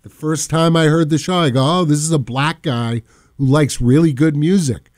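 A man from Massachusetts co-hosting a radio show, rambling casually, trailing off and often clearing his throat. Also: on the high side, normal speed, darkish tone. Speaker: radio co-host. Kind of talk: casual talk radio banter.

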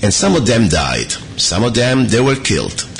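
A middle-aged man from Italy with an Italian accent, hosting an opinionated talk radio show in earnest, halting speech full of fillers and restarts. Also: on the low side, very animated, gravelly, faint music behind.

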